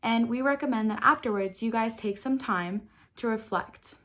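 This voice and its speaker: A woman's voice: fairly high pitch, normal speed, a bit bright. A young woman presenting a scripted introduction as the host of a student news show.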